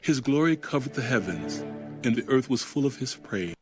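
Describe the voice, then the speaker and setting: A man giving a solemn, dramatic scripture reading in a measured, weighty, declamatory manner. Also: mid-range voice, expressive. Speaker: audio Bible reader. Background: music under the reading.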